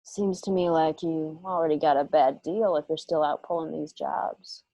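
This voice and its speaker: Actress doing cruel american cowgirl outlaw, low voice, folksy threats, radio western, close dry sound. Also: fairly even intonation, normal speed, creaky, dark tone, no room sound, poor audio. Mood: taunting, threatening. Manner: folksy drawling threats, taunting. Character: vengeful, menacing Western outlaw woman, coolly cruel. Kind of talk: Western radio drama acting.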